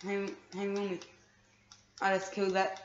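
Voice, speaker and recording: A woman's voice: mid-range voice, completely flat delivery, unhurried. A woman chatting while playing a game with a friend, hesitant with many ums and a bit bossy, recorded in a room off a TV. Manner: hesitant, lots of ums, bossy with her co-player. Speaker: gamer. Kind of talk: casual gaming chat. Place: room filmed off a TV screen. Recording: echoey lo-fi room recording with noise.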